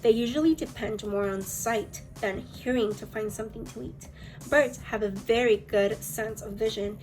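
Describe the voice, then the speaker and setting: A woman's voice: on the high side, normal speed, darkish tone, poor audio. A woman teacher leading a read-aloud lesson for young children, speaking in an encouraging way. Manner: encouraging, addressing young pupils. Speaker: elementary school teacher.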